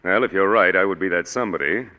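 An actor playing a smooth, condescending male psychic consultant in a 1940s radio drama, heard on a dull old broadcast recording with hall reverb. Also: low voice, fast, close to an everyday voice. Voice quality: smooth, pompous.

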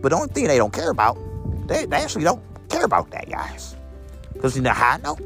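A deep-voiced man in his twenties from Illinois, vlogging outdoors in the cold in a rambling, repetitive monologue to his viewers. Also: huge pitch swings, fast talker, a bit bright, faint music behind. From Illinois, USA. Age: 20s. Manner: repetitive, restates lines in several persons.

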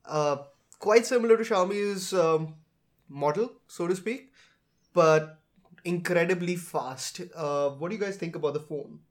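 A man's voice: high-pitched, measured pace. A man hosting a casual tech podcast, talking in an informal, conversational way. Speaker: podcast host.

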